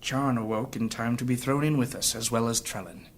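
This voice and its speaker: A man performs a battle-worn male fantasy adventurer with a grave, guarded air. He speaks at a middle pitch in solemn, courtly, archaic phrases, like a storyteller in an audio drama who has seen terrible things. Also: fairly even intonation, fairly quick, slight background noise, lightly acted. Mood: grim, haunted.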